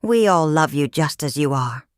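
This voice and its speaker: Lady playing a kind big-hearted sister hen, storybook style, gentle and earnest, close dry sound. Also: sits low, animated, moderate pace, dry recording. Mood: gently reassuring. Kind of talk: storybook character reading.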